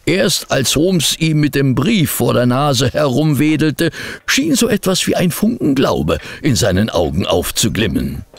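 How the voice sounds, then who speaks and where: An actor playing a sceptical gentleman sidekick and storyteller in a German radio play, dry, grumbling and ironic. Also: medium pitch, sing-song, a little slow, vocal fry, no room sound, heavily acted. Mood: wry storytelling.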